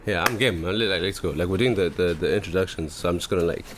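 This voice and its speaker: Young male podcast host, low-pitched voice, loose slangy rambling delivery. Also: fast, fairly bright tone, a little hiss or hum. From Zimbabwe. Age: 20s. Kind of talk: casual chat.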